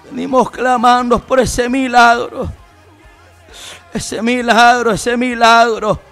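High-pitched man in fervent, pleading prayer. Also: flat delivery, slow pace, fairly bright tone, soft background music. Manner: pleading, repetitive, exclamatory. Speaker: prayer leader. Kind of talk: fervent Pentecostal intercessory prayer.

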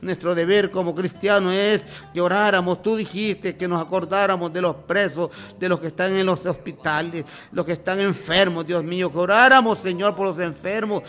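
Man, fervent prayer leader, high voice, faint music under him. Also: steady tone, normal speed, few pauses, a bit bright. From former drug and alcohol user. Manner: repeats "Señor" and "aleluya" in an impassioned, pleading rhythm. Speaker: preacher leading prayer.

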